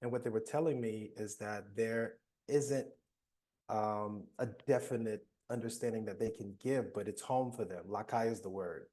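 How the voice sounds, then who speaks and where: A man speaking as a panelist, reflecting in a soft-spoken way. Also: lowish voice, moderate pace. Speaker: panelist, mental health professional. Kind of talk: panel discussion.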